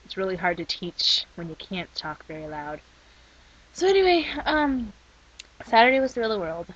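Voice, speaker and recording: A young woman rambling in a casual video diary, her voice worn from a week of shouting. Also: mid-range voice, big pitch swings, normal speed. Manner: chatty and rambling. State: losing her voice. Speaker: vlogger. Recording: slightly dull lo-fi recording.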